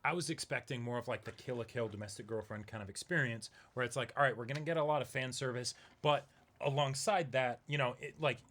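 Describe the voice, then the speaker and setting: A man's voice: medium pitch, fast talker, fairly bright tone. A man co-hosting an online reaction channel, explaining things in casual, unscripted talk and often checking that he is followed.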